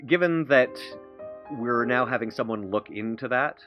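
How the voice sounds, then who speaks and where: A man playing a tabletop roleplay, describing things in a halting, detailed way with many pauses. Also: medium pitch, lo-fi sound, faint music behind. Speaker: player.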